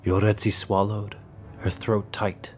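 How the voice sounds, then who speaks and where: A man's voice: on the low side, flat delivery, unhurried, slight background noise. A man narrating a scripted dramatic story as its narrator. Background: music under the voice.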